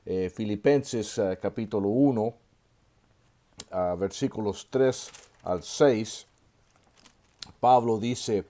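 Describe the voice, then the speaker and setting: A man in his sixties, from Chile, teaches a recorded seminary course. His voice sits a little low and sounds warm and mellow. He talks at an easy pace, fills his pauses with soft "eh" and "ah" sounds, and slips in a gentle joke now and then. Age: 60s. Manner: unhurried, full of filler sounds and restarts; gently joking aside. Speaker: seminary professor. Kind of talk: online course lecture.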